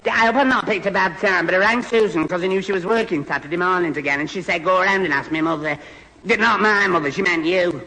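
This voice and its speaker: A man's voice: high-pitched, quick pace, pushed and bright, long reverb tail, slight background noise, rough recording. An actor playing a flustered, gormless, eager young man with a Northern English accent, stammering and muddling his words in a 1970s radio comedy.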